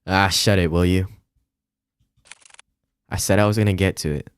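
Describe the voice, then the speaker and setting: Visual novel role: grumpy male detective acted by a man, very low register, irritable. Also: level tone, moderate pace, clean tone, close to an everyday voice. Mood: irritated.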